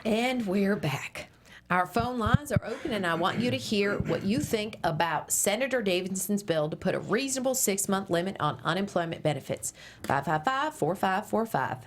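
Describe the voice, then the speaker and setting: A woman's voice: medium pitch, lively intonation, moderate pace, background noise, close to an everyday voice. A woman playing a proud, wounded, assertive conservative radio host and mother at a script table read. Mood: brisk, polished. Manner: assertive, polished on-air patter.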